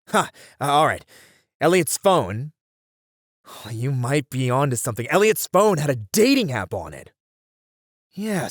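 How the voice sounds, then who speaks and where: A man playing a driven detective who thinks aloud in halting phrases, in a dramatic ASMR roleplay. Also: medium pitch, husky, no room sound, studio quality, full character voice. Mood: excited realization. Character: driven male detective piecing together a serial killer case. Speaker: voice actor in a roleplay. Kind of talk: ASMR roleplay, dramatic one-sided dialogue.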